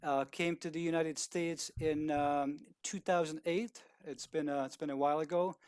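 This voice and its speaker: A man with a Czech accent talks over a video call in a smooth, clear voice that sits a little high for a man. He is polite and halting, filling gaps with "uh" and restarting his sentences. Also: fairly even intonation, a little mellow. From Czech Republic. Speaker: guest speaker, video producer. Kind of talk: casual talk on a video call.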